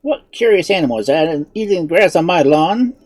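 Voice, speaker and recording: A storybook narrator voicing a stern, gruff father who is hospitable underneath. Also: medium pitch, lively intonation, moderate pace, darkish tone, pitched above the performer's own voice, natural voice. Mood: curious. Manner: blunt, gruff.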